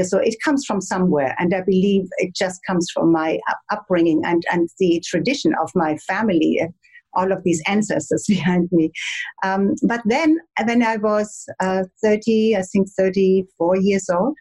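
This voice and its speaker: A woman from Germany with a light German accent, an interview guest who speaks in a measured, poised way with frequent ums. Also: mid-range voice, darkish tone, breathy edge, poor audio. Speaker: interview guest, etiquette expert. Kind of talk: conversational interview.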